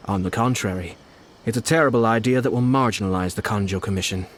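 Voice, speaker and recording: An actor playing a composed, scheming young noble strategist in a game, speaking in a measured, persuasive way. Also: male voice, fairly low pitch, slight background noise, close to an everyday voice. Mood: calm scheming. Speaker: game character. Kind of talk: game voice acting.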